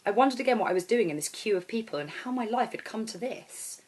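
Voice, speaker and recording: A woman reading aloud as an author, in expressive storybook narration. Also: a little high, fast talker, slightly rough. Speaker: author reading own novel.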